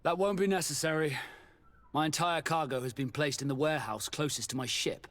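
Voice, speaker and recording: A man voicing a wary, resentful ship's captain in a video game, with a husky voice. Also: a little high, a little fast, fairly bright tone. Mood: conspiratorial.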